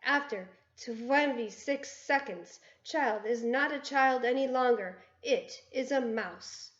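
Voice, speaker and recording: Lady reading as a bossy gloating head witch, slow and clipped, higher voice, roomy dull recording. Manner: bossy, clipped, broken grammar; gloating, triumphant shouting. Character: gloating, ruthless female chief witch.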